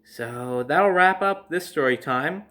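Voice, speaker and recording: Higher-voiced young man telling a dramatic story. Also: expressive, takes it slowly, fairly bright tone. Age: young adult. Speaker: storyteller host. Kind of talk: casual personal storytelling.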